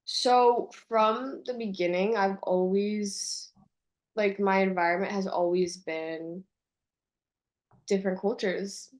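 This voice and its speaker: A woman's voice: mid-range voice, steady tone. Young woman, mid-range voice, casual and reflective, an interview guest on a call. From California, USA, and Colombia. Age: young adult. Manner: reflective, says "like" often, slows to stress words. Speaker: guest, university student abroad.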